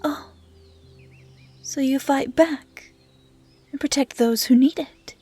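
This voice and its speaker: A woman voicing a gentle, curious female dryad who guards the woods, speaking softly and often in a whisper in a roleplay audio drama. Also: high voice, faint music behind. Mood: soft, earnest. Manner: soft, often whispery, naive and measured. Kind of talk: roleplay audio drama, soft intimate acting.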